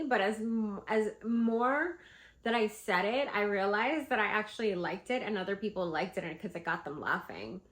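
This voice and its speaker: Female vlogger with a head cold, congested and chatty, middle-pitched voice. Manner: chatty, animated, lots of fillers. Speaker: vlogger and candle seller. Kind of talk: casual advice vlog.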